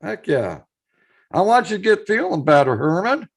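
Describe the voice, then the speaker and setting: Hoarse, gravelly-voiced male live streamer. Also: sits high, sing-song, moderate pace. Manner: chatty, reads out viewer messages and greets them by name. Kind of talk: casual live stream chat with viewers.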